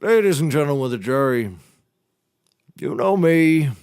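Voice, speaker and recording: A man's voice: fairly high pitch, animated, normal speed, darkish tone, clear, resonant tone, dry recording, clean studio sound, close to an everyday voice. An actor playing a blustering, folksy nautical handyman turned defense lawyer, orating grandly in a comedy courtroom. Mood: grandiose pitching. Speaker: defense attorney. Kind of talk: scripted comedy courtroom oratory.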